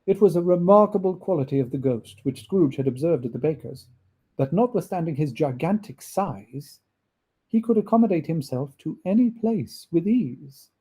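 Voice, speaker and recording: A man reading a classic story aloud as an expressive narrator. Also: on the high side, normal speed, husky. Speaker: audiobook reader, narrator.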